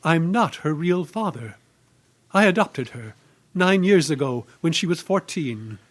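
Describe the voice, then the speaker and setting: A man's voice: a little high, animated, unhurried. A man playing a weak, genteel middle-aged father in an old-time radio mystery, with a pleading, melodramatic delivery. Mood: despairing.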